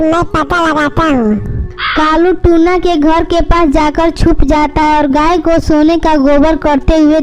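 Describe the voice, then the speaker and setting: High-pitched woman narrating a children's tale. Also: darkish tone, poor audio. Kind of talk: scripted children's story narration.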